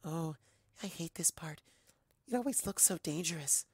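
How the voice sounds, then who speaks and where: A shy, gentle cartoon pony seamstress, acted out by a storyteller. She speaks softly and hesitantly, in a sweet small voice. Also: medium pitch, lively intonation, moderate pace, husky, no echo, studio quality, voice pushed up. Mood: anxious. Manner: soft-spoken, hesitant, sweet. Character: timid, gentle young female pony seamstress.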